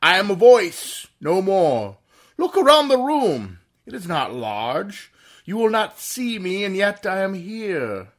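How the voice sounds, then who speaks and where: A man's voice: high voice, sing-song, slow pace, exaggerated voice. A man playing a mysterious, invisible male avenger who speaks as a disembodied voice, in a theatrical, mocking tone, in a 1930s-style radio drama. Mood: ominous.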